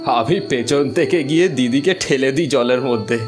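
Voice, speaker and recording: A man playing a lonely young village postmaster in an audio story, talking in an informal, familiar, unhurried way. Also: medium pitch, music in the background, close to an everyday voice. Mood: fond reminiscing. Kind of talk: Bengali audio story acting.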